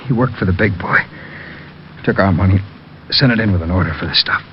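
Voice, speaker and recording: A man's voice: sits low, brisk, natural voice. Male actor as wounded criminal, short of breath, stammering, pleading, vintage radio drama, muffled recording. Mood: weak, fading. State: in pain, short of breath, gasping. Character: badly wounded criminal, desperate and fading. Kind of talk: 1940s-50s radio acting. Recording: dull, lightly noisy old radio recording.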